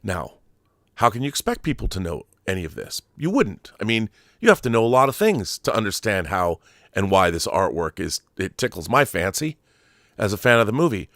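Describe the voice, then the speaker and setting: A middle-aged man delivers opinionated film commentary in a very lively, swinging pitch. The sound is dry and close, and he leans hard on key words, pausing and repeating phrases to make his point. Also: dry recording. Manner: emphatic, deliberate, with stressed pauses and repeated phrases. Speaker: host of a film commentary show. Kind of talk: opinionated monologue to viewers about film collectibles.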